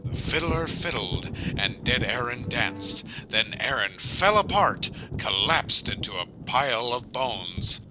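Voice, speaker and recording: A man's voice: mid-range voice, noisy background. A man playing a folksy village storyteller, telling a spooky tale rhythmically and expressively in an audio drama.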